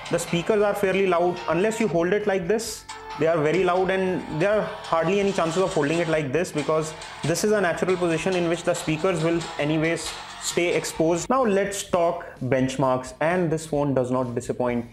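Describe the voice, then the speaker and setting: A man's voice: on the high side, moderate pace, few pauses, faint music behind. A man giving a product review as a tech reviewer, explaining things step by step.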